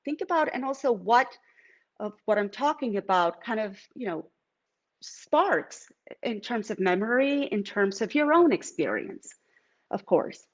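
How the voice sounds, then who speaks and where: A woman's voice: a little high, very animated, measured pace. A middle-aged woman from Venezuela with a Venezuelan Spanish accent, giving a live artist talk from her studio. She speaks reflectively, with many fillers and restarts. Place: home art studio.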